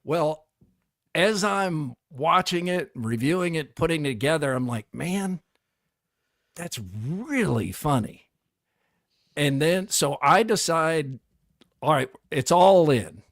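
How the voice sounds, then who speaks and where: Middle-aged male co-host, storytelling chat, slightly high pitch. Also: expressive, slow pace, pauses often, dry recording, clean studio sound. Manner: storytelling, acts out quotes. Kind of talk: casual chat.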